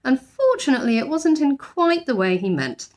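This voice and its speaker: A woman giving an expressive narration of a children's storybook, like a teacher reading aloud. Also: high voice, normal speed.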